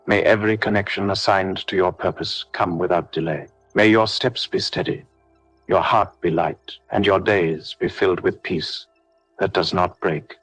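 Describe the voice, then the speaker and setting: A man leading a scripted devotional prayer, giving solemn, measured blessings and declarations in short parallel phrases. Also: low-pitched, no room sound, low-quality recording. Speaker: prayer leader. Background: background music.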